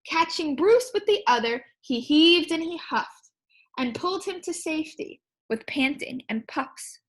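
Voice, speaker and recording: Female primary teacher for picture-book read-aloud: high voice, unhurried pace, expressive, questioning delivery. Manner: expressive, questioning, explains simply to children.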